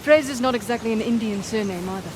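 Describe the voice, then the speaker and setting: An actress playing a blunt, guarded woman mercenary in a video game, terse and deadpan. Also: on the high side, fast talker, noisy background, close to an everyday voice. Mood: curious, dry. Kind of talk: naturalistic video game acting.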